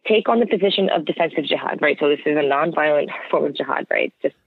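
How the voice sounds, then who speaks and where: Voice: medium pitch, fast, gravelly, slightly rough, dry recording. An American interview guest speaking earnestly and conversationally, explaining points and often checking with "right?". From United States. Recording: narrow, tinny phone-line sound.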